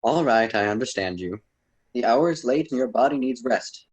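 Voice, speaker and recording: A man's voice: medium pitch, animated, moderate pace, slightly rough, low-quality recording. A man playing a wise, wordy poet mentor with a formal, didactic manner in an amateur student radio drama. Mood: gentle farewell.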